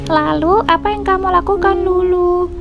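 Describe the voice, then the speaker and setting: A woman's voice: very high-pitched, fairly even intonation, normal speed, creaky, loud music behind the voice, pitched above the performer's own voice. A woman voicing a sad, bullied young chick in a children's story, plaintive and childlike. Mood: curious.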